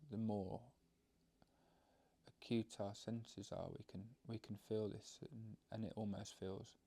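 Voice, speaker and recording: Slow, low, creaky-voiced man guiding meditation. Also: flat delivery. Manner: halting, unscripted, gentle explaining. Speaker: meditation teacher. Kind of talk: guided meditation instruction.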